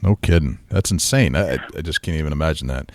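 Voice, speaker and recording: A man's voice: extremely low pitch, fast, darkish tone, no echo, studio quality. A man hosting a podcast in a chatty, eager way, agreeing often with his guest. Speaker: podcast host. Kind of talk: casual podcast interview.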